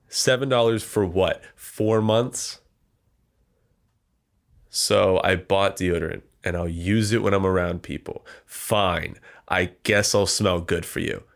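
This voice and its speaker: A man's voice: low voice, a bit bright, clean tone. Congested nasal young man rambling. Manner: rambling, self-deprecating, with frequent fillers. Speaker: podcast host. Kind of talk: casual comedic monologue. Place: small warm room with a computer.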